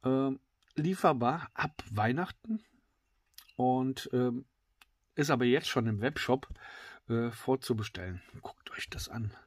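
A middle-aged man with a slightly congested voice, reviewing a knife in a casual, filler-heavy way that often drops to excited whispers. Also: mid-range voice, slow pace, pauses often, no room sound, clean studio sound. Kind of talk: casual tabletop product review. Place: indoors at a wooden table.

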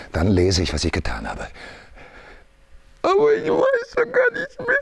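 A man's voice: medium pitch, creaky, breathy edge, pitched below the performer's own voice, full character voice. A man performing a tormented, hunted man who stammers, pleads and cries out in a dramatic stage monologue.

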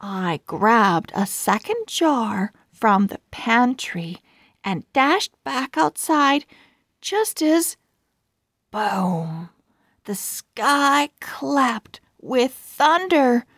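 A woman reading a children's book aloud as a storyteller, in a deliberate, expressive manner with careful enunciation. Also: fairly high pitch, no echo, clean studio sound.

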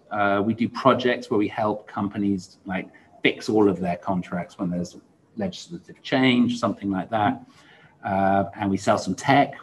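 A man's voice: lowish voice, a little hiss or hum, poor audio. A man in his forties speaking casually as a podcast host in conversation. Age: 40s. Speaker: podcast host and law firm founder. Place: indoor room, seated.

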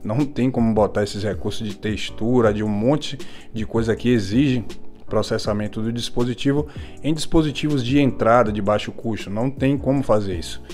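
A man's voice: fairly low pitch, normal speed, a little mellow. A man with a Brazilian accent giving a casual, informal hands-on tech review, often adding little check-in tags. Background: music.

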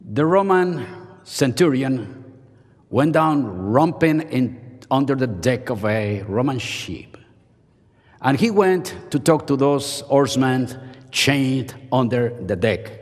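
An older man preaches a humorous chapel sermon, teasing himself with dramatic pauses. His pitch swings widely while he talks at an unhurried pace. The podium mic carries a long reverb off the large hall. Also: husky. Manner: playful, self-mocking, dramatic pauses. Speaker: seminary professor and chapel speaker. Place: large seminary chapel auditorium. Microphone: podium microphone.

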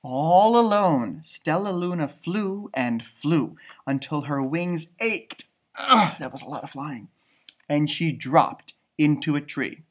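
A man in his thirties reading a picture book aloud to children like a teacher, expressive and dramatic, adding chatty asides. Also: on the high side, takes it slowly. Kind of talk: animated storybook read-aloud with asides. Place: indoor library room with bookshelves.

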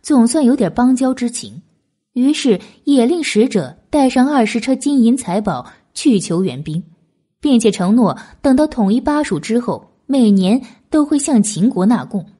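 A woman's voice: a little high, big pitch swings, moderate pace, dark tone, slightly rough. A woman narrating a historical novel as a scripted audiobook.

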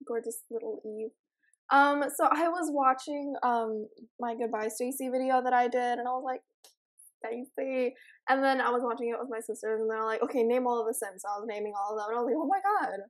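A raspy young woman casually commenting while she plays a life-simulation game, rambling and giggling with lots of ums. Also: on the high side, fairly quick. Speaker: gaming channel host. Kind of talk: casual let's play commentary.

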